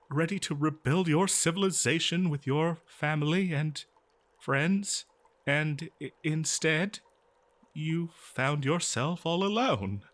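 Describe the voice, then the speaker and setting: A man's voice: fairly high pitch, a bit bright. A man playing an eager, kindly archaeologist in a fantasy audio roleplay, speaking in a hesitant, stammering, scholarly way straight to the listener. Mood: sympathetic, halting. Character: eager, kindly male archaeologist befriending a lonely monster. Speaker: audio drama performer. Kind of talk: scripted fantasy audio roleplay, one-sided dialogue to listener.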